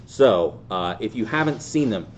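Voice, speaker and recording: A man in his thirties casually hosting a hands-on how-to demonstration in a deadpan, self-deprecating way. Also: on the low side, measured pace. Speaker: host of a fan group's how-to video. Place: indoor tabletop video shoot. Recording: clear background noise.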